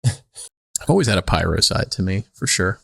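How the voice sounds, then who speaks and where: A man co-hosting a podcast with casual, comedic chat, rambling and halting as he tells stories. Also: deep voice, very animated, gravelly, breathy edge, dry recording, studio quality. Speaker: podcast co-host.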